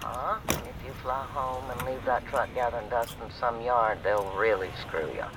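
A man playing a brisk, placating trucking dispatcher in a naturalistic audio drama, reassuring in a breezy, dismissive way. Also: medium pitch, steady tone, background noise, lightly acted. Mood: matter-of-fact.

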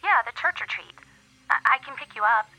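An actress playing a chatty, prying young churchgoing friend in a radio drama, heard through a telephone filter. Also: female voice, fairly high pitch, animated, normal speed, pushed and bright, heavily acted, rough recording. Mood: friendly.

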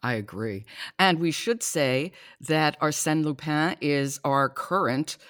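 A woman's voice: deep voice, takes it slowly, a bit bright, no room sound, clean studio sound. A woman hosting a podcast discussion about books. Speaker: podcast host.

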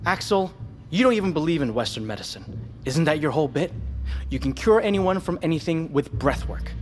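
A man's voice: fairly high pitch, animated, fairly quick, soft background music, natural voice. A man playing a skeptical, exasperated doctor in a naturalistic audio drama, with a clipped, sarcastic manner. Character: skeptical, exasperated male doctor guarding his experimental drug. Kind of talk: naturalistic fiction podcast acting.

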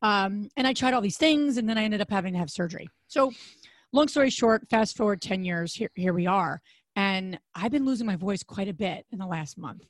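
A woman in her thirties co-hosting a podcast, with a deep, raspy, hoarse voice, chatting casually and rambling with fillers and restarts. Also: lively intonation, a little fast, dry recording. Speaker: podcast co-host.

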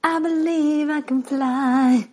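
A woman in her forties hosting a casual, playful interview, animated and quick to laugh. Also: high-pitched, background noise. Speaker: interview host.

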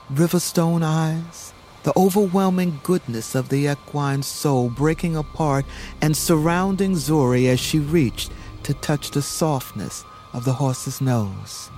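A man narrating an audio drama legend in a solemn, measured, reverent way. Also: fairly high pitch, darkish tone. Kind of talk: slow scripted storytelling narration for an audio drama. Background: music.

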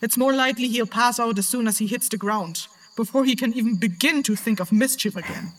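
A woman's voice: fairly high pitch, a little fast, close to an everyday voice. An actress playing a weary, wary woman in charge in a fantasy audio drama, sighing and dry. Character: weary, wary female rune worker in charge.

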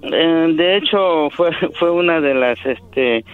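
A man's voice: a little high, slow, smooth, faint music behind. A middle-aged man from Mexico, a native Zapotec speaker, telling his life story as a radio guest, earnest and hesitant, often repeating words. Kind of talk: radio phone interview. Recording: phone line over radio.

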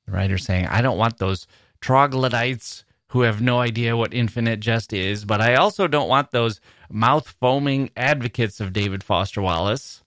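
A man's voice: medium pitch, normal speed, a bit bright, no echo, clean studio sound. A man hosting a podcast, giving wry, amused commentary as he reads out personal ads and muses aloud in rhetorical questions. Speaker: podcast host. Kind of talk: humorous solo commentary reading out personal ads.

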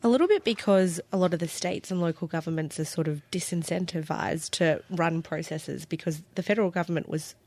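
A woman's voice: fairly low pitch, fairly quick, few pauses, darkish tone. A woman from Australia with an Australian accent, explaining finance topics as a guest reporter in a radio studio with casual asides. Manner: casual, explanatory, light wordplay. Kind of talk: radio interview.

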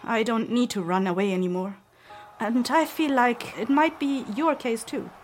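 A woman playing a warm, perceptive, teasing friend in an audio drama, familiar and gently probing. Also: on the high side, moderate pace, soft background music, lightly acted. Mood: tender, earnest. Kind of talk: acted fan fiction audio drama.

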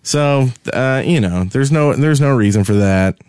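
A man's voice: medium pitch, measured pace, a little mellow, clear, resonant tone, no room sound, clean studio sound. A man co-hosting a podcast, casually telling gaming stories in a conversational way and acting out the dialogue as he goes. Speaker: podcast co-host and game server admin.

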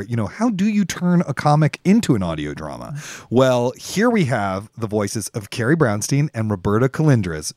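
An excitable, emphatic man hosting a podcast in a conversational style. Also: mid-range voice, dry recording, clean studio sound. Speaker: podcast host.

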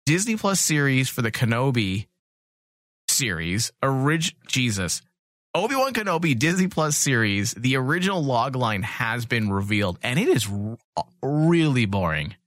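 Male podcast host, highly expressive, sweary and mocking, casual banter, dry close sound. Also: moderate pace, dry recording.

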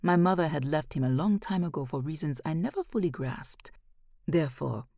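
A woman's voice: sits low, animated, moderate pace, dark tone, dry recording, clean studio sound. A woman reading a first-person short story as scripted narration.

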